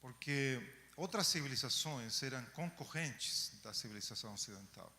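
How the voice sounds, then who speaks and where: A man from Chile with a Chilean Spanish accent speaking in a second language, giving an academic talk to students, unhurried, pausing often and tagging phrases with "não?". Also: mid-range voice, expressive, pushed and bright. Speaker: guest lecturer. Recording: long hall reverb.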